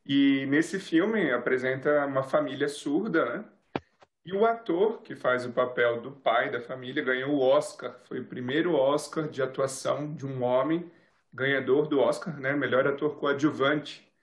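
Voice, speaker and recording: A male TV interviewer asking measured questions and often adding tag questions. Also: mid-range voice, reverberant. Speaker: interviewer, TV host.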